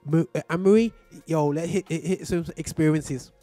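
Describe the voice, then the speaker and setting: Brief: male host, higher pitch with a warm, mellow tone, slang-filled pep talk. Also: a little fast, faint music behind. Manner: slang-heavy, encouraging, says "bro" constantly. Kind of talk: casual conversation.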